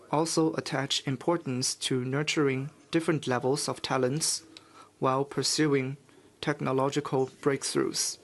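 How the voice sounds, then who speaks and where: A man works as a live interpreter at a formal press conference. He delivers official statements in measured, halting chunks at a medium pitch. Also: fairly bright tone.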